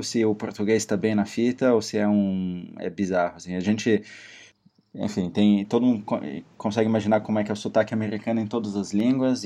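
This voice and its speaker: Low, mellow-voiced podcast host. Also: male voice. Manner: opinionated, steers the conversation with questions. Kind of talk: casual chat.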